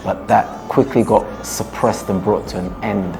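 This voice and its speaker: A man from the UK, one half of a husband-and-wife music duo, talking through how they write songs in a measured, explanatory way. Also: lowish voice, steady tone, creaky. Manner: measured, explanatory, slows down for emphasis. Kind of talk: relaxed interview talk.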